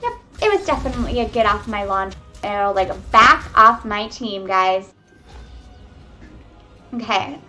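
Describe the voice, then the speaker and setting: A young woman giving excitable, chatty live commentary on a video game match, talking to her viewers from home on a webcam microphone. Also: medium pitch, measured pace, pauses often, soft background music. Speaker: esports caster. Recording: webcam mic with faint noise.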